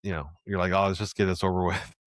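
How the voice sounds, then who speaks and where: A man with a very deep voice hosts a relaxed interview. He muses aloud in a loose, easygoing way and often checks in with "right?". The sound is close and dry. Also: fast talker, dry recording. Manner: easygoing, with many fillers and restarts, ending lines with "right?". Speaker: podcast host. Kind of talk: casual interview conversation.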